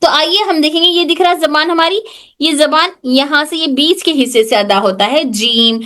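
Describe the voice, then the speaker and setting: A woman's voice: high voice, normal speed, sharp tone. A woman teaching Tajweed pronunciation in an online class, patiently repeating points and checking that students follow.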